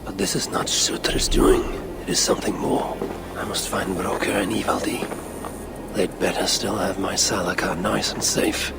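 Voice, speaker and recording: Gravelly, proud warrior god out for vengeance. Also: male voice, sits low, little pitch movement, measured pace, very noisy. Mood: bitter, brooding. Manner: archaic, grandiose, declamatory phrasing. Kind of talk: dramatic video game cutscene acting.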